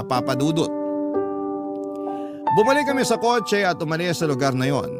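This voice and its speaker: High-pitched male storyteller over music. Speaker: radio storyteller reading a listener's letter. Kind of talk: dramatic first-person scripted narration in Tagalog.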